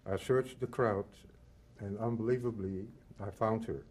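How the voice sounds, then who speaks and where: A man in his eighties from the Netherlands and Belgium, reading a prepared personal testimony in measured, solemn short phrases. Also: lowish voice, fairly even intonation, a little mellow, slightly rough. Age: 80s. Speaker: Holocaust survivor giving testimony.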